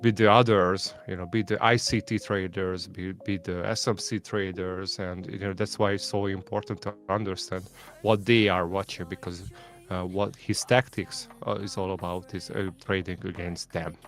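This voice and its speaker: Lower-voiced Hungarian man, halting speech. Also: level tone, a bit bright. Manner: halting, repeats words, many fillers. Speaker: guest trader. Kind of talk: casual live-stream chat.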